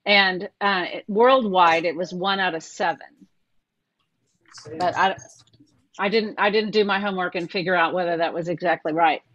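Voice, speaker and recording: A woman's voice: on the low side, steady tone, normal speed, long pauses, a bit bright, dry recording, muffled recording. A woman presenting a talk over a video call, chatty and asking her listeners questions. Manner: conversational, asks the group questions.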